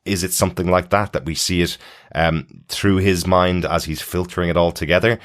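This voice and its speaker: A man's voice: deep voice, level tone, brisk. A man co-hosting a podcast, chatting casually as he reviews television. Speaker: podcast co-host.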